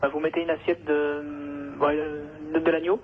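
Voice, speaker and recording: A man's voice: fairly high pitch, little pitch movement, normal speed. A man talking casually and agreeably on a phone call, informal and a little hesitant. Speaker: haulage company employee, prank victim. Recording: thin, slightly noisy telephone line.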